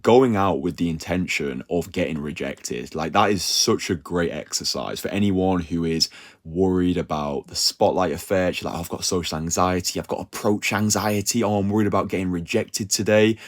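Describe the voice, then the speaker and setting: A young man giving dating advice in a casual, animated talk, peppering his speech with "like" and "yeah?". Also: low-pitched, normal speed. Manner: animated, slangy, full of "like" and "yeah?" tags. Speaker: dating coach.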